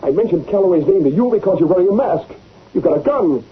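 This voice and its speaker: A man's voice: high-pitched, lively intonation, fast, slightly rough, full character voice. An actor playing a frightened, pleading man at gunpoint in an old radio drama, stammering. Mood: terrified. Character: panicky, pleading man cornered by a gunman. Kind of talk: 1930s-40s radio acting. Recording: muffled vintage radio recording.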